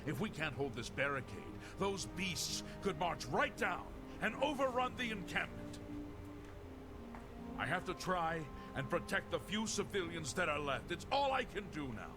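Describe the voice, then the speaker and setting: An actor playing a grim, gruff male guard captain defending a burning city, urgent and despairing, over game music. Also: a little high, sing-song, moderate pace. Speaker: soldier commander. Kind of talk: video game voice acting.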